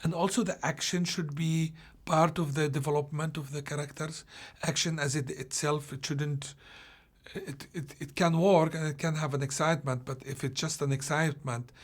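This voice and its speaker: A film director from Palestine answers questions in a somewhat high voice. He talks haltingly, stopping and restarting as he hunts for the right word. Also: steady tone. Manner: halting, searching for words, frequent restarts. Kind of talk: interview answers.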